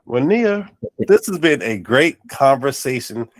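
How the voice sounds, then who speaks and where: A man's voice: mid-range voice, very animated, a little slow, dry recording. A man hosting an interview podcast, sounding nasal and congested, with affirming and encouraging talk. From North Carolina. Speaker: podcast host. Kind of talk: casual interview chat.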